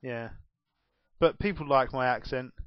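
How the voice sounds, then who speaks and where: A man's voice: medium pitch, unhurried, smooth, dry recording. A young man in his twenties with a well-spoken southern English accent, chatting casually through a vlog Q&A in a self-mocking way and laughing often. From England. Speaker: gaming YouTuber. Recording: dull, dry home recording.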